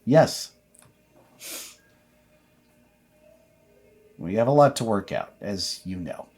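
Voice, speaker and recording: A man's voice: on the low side, big pitch swings, normal speed, a little mellow, husky, full character voice. Worried dad, acted by a man, stern but loving, hesitant and parental, for a tabletop horror game. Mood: placating.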